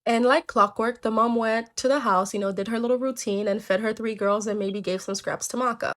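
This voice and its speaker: A woman's voice: on the high side, steady tone, fairly quick, fairly bright tone. A woman telling folktales in a casual, chatty way, tossing in joking asides to listeners. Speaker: storyteller.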